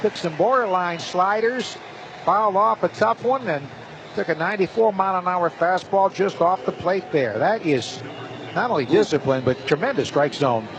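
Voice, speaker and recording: A man works as a baseball play-by-play announcer, calling the game live. His voice sits a little high. Some outdoor ballpark noise runs faintly behind him. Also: moderate pace, rough recording. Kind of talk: live sports commentary. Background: outdoor stadium ambience.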